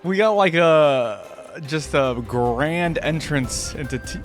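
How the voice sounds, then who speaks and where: A man's voice: fairly high pitch, expressive, takes it slowly, soft background music. A man giving enthusiastic, informal reaction commentary as the host of a fan channel.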